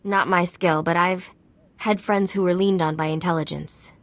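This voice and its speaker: An actress playing an earnest, resourceful informant in a video game, speaking in short, clipped sentences. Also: female voice, on the low side, steady tone, moderate pace, close to an everyday voice. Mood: earnest, urgent.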